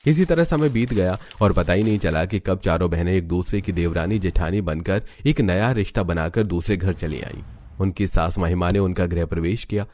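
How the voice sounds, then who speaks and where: Low-pitched man narrating a story. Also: moderate pace, darkish tone. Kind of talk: scripted Hindi storytelling narration.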